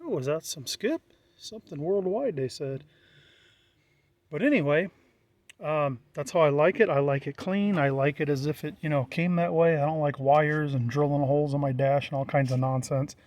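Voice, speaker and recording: American guy, slightly higher voice, matter of fact, just chatting through a walkthrough off the cuff. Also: normal speed, darkish tone, no echo. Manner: plain-spoken, matter-of-fact. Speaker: hobbyist presenter. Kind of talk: casual unscripted walkthrough.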